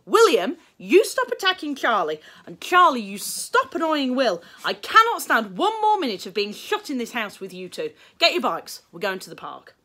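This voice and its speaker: A woman voicing a brisk, exasperated English mother in a storybook, firm and emphatic. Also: sits high, huge pitch swings, sharp tone, voice pushed up, exaggerated voice. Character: exasperated, brisk mother of two squabbling boys.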